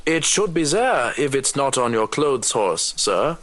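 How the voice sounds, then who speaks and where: Slow, sardonic manservant. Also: male voice, mid-range voice. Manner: deadpan, drawn-out, sarcastic. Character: dry, sardonic male manservant to a rich household. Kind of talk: visual novel voice acting.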